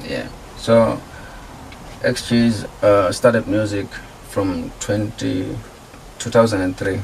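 A man from Africa, a producer and artist, talking casually in a radio interview with a relaxed, slangy manner. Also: lowish voice, slow pace, creaky, recorded from a distance, a little hiss or hum, low-quality recording. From South Africa. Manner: relaxed, laid-back slang, laughs easily. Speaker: guest music producer and artist.

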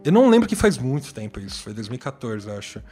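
A man interviewing a guest, asking curious questions in a casual, chatty way and often tagging them with a quick check. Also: mid-range voice, sing-song, dark tone.

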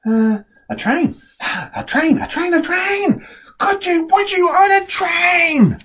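A performer voicing an eager, persistent little pest with a pleading, coaxing manner in an animated picture-book read-aloud. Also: very high-pitched, takes it slowly, heavily acted. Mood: excited.

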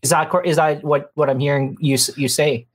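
A man's voice: fairly high pitch, steady tone, a little fast. A man hosting a podcast interview, asking questions in a friendly, casual way and murmuring along while his guest talks. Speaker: podcast host.

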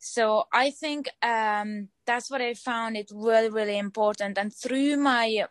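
A woman's voice: on the high side, measured pace, no room sound. Hungarian-accented woman in her twenties, dry sound. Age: 20s. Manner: earnest, searching for words, repeats phrases. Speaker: fitness trainer. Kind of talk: interview answers.

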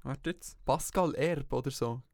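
A man's voice: medium pitch, measured pace, a little mellow, no echo. A young man from Switzerland with a Swiss German accent, chatting casually and jokingly as a podcast co-host. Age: young adult. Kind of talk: casual chat.

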